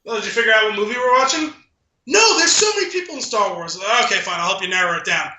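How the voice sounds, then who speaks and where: A man with a very bright, forceful, high voice in a roomy, echoey space. He tells casual, rambling stories like a podcast co-host, animated and sardonic, quoting back-and-forth dialogue as he goes. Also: quick pace.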